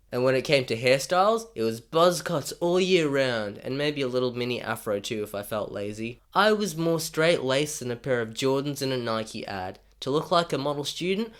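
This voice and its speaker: A young Australian man narrates a scripted comic story about himself, wry and self-deprecating. His voice sits at an ordinary middle pitch but jumps up for punchlines and drops low for asides.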